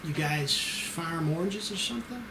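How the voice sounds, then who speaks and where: A man's voice: fairly high pitch, expressive, unhurried. A man playing a self-assured, wry special agent from child services in an amateur screenplay table read. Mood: businesslike. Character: cocky, unflappable male federal child services special agent. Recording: roomy echo with clear noise.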